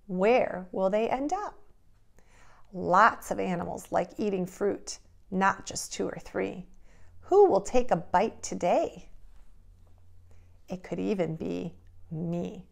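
A middle-aged woman speaking as a preschool teacher, deliberately and expressively addressing young children in a simple read-aloud, instructional style. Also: medium pitch, expressive, gravelly.

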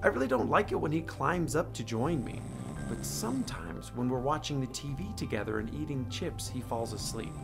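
Soft-spoken young dog, as a man reads him. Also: mid-range voice, normal speed, gravelly, loud music behind the voice. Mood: tender. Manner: soft, calm storytelling. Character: gentle young male dog telling of his boy. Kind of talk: children's book read-aloud.

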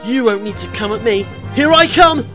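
A woman's voice: a little high, animated, normal speed, a bit bright, faint music behind. A woman playing a brave, defiant young male hero in a radio pantomime, with earnest, declamatory delivery. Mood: triumphant. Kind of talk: pantomime radio play acting.

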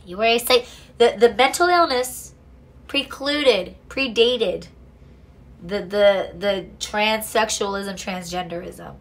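A woman's voice: mid-range voice, takes it slowly. A young woman giving casual, blunt true crime commentary from a small home room, swearing freely and breaking into sarcastic asides. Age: young adult. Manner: blunt and profane, sarcastic asides, frequent restarts.

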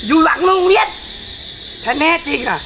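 A man's voice: very high voice, expressive, normal speed, background noise. A man with an Isan accent playing a cheeky, sceptical village youngster who teases his elder, in a folk comedy series. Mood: teasing, challenging. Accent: Isan, northeastern Thai. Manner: teasing, challenging. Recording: loud music under dialogue.

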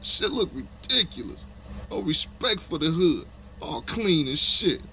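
An actor playing a cocky, hot-tempered gangster who talks in brash, mocking slang in a video game. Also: male voice, fairly high pitch, big pitch swings, measured pace, bright, forceful tone, slight background noise, full character voice. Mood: scornful. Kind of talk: video game cutscene acting.

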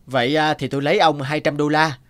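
Man acting a proud, high-pitched earnest painter. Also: flat delivery, fast talker, a bit bright, natural voice. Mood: polite. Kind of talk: animated moral tale dubbing.

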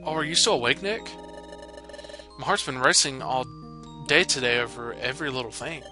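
A man voicing an eager, friendly young mouse creature from a video game, earnest and excitable. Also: mid-range voice, moderate pace, music in the background, lightly acted. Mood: earnest excitement.

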